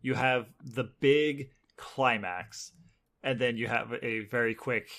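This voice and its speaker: A man's voice: mid-range voice, steady tone, takes it slowly, a bit bright. Man explaining casually as podcast co-host. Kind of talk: casual pop culture discussion.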